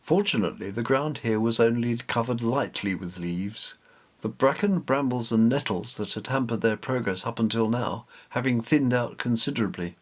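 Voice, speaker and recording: A man's voice: mid-range voice, normal speed. A man reading his own story aloud as scripted narration. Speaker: author reading own novelette.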